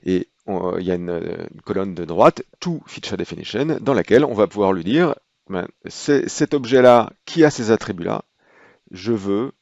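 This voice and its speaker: Low gravelly guy doing a software tutorial, lots of hesitating, dry dull-sounding mic. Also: animated, no echo. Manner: unhurried, explanatory, frequent hesitations. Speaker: software trainer. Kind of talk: screen-recorded software tutorial.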